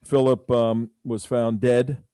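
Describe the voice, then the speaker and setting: A man's voice: medium pitch, level tone, slow, warm tone, clear, resonant tone, no echo, clean studio sound. A man hosting a radio talk show, speaking casually and rambling with many fillers.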